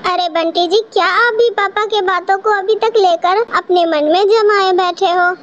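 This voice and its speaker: A woman's voice: very high voice, moderate pace, dull audio. A woman voicing a sweet young wife sparrow in a Hindi cartoon story, polite and coaxing. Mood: placating.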